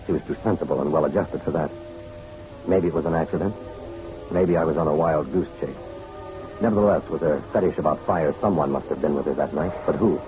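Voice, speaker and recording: Deep-voiced wry private eye. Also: male voice, a little fast, music in the background. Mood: brooding narration. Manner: wry, flirtatious, colloquial. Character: wry hard-boiled male private detective. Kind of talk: old-time radio detective drama, first-person narration and dialogue. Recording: dull muffled radio drama recording.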